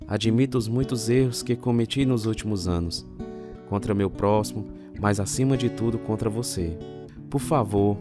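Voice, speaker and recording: A man's voice: mid-range voice, close to an everyday voice. An actor playing an earnest, repentant former alcoholic telling his life story in a Brazilian radio drama. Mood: pleading. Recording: loud guitar music under the voice.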